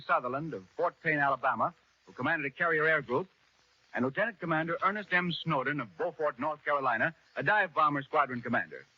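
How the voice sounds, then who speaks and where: A man with a fairly high, husky voice reports briskly on a 1940s broadcast. The sound is muffled, dry and lo-fi. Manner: brisk announcing. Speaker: radio reporter interviewer. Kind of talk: 1940s radio interview.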